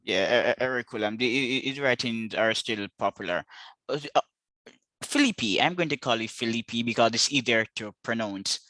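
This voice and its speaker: A man from Jamaica with a Jamaican accent, hosting a scholarly interview in a measured way, sometimes repeating the first words of a phrase. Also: medium pitch, bright, forceful tone, no room sound. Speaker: podcast host, interviewer.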